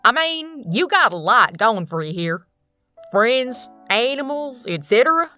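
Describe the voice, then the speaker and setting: A woman's voice: a little high, very animated, unhurried, bright, forceful tone, soft background music, voice pushed up, full character voice. A woman voicing a plainspoken, kindly farm pony mare with a Southern US accent in a storybook tale, folksy and easygoing. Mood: concerned.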